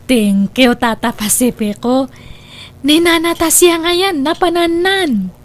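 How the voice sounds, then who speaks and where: A woman's voice: high-pitched, big pitch swings, slow, heavily acted. A woman with an Ilocano Filipino accent acting in a comedy drama as a cheery, greedy young wife, giggly and wheedling. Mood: giggly, hungry. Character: greedy, lazy young wife who mooches meals, cheery.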